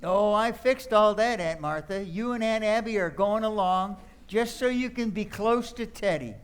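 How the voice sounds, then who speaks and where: A man playing an excitable, affectionate young nephew in an old-time radio comedy. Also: high voice, moderate pace, smooth, close to an everyday voice. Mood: reassuring.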